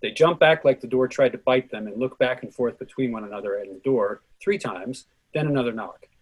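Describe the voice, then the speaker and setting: Man, mid-pitched, slightly rough husky tone, scripted play narration, stage directions. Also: fairly quick.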